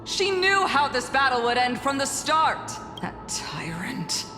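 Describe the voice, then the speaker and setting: A proud, bitter fighter from a video game, full of scorn and defiance. The delivery is slow and dramatic with sweeping pitch, echoing over background music. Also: female voice, very animated, exaggerated voice. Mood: furious, scornful. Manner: dramatic, scornful, swinging from shouts to hisses. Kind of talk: scripted video game voice acting. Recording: echoey hall reverb under music.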